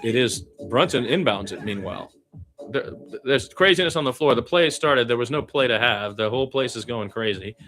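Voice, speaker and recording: A man's voice: mid-range voice, expressive, normal speed, fairly bright tone, soft background music. A man giving conversational radio play-by-play of a basketball game, mixing running calls with opinionated asides. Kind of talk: live basketball play-by-play commentary.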